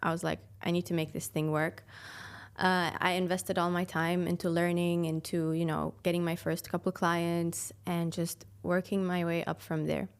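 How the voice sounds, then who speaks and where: A woman in her twenties from Sweden, a podcast guest talking casually and thoughtfully about her path, with fillers and soft laughs. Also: lowish voice, little pitch movement, normal speed, smooth. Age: 20s. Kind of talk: casual interview chat.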